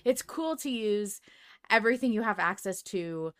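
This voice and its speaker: A woman's voice: medium pitch, lively intonation, normal speed, clear, resonant tone. A woman hosting a casual podcast chat, emphatic and playfully argumentative, swearing freely. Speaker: podcast host. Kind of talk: casual fan discussion chat.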